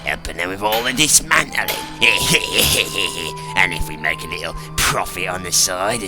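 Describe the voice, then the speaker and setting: An actor playing a sly, gloating scrap thief with a chuckling, drawn-out delivery in an audio drama. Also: male voice, deep voice, animated. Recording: loud music under the voice.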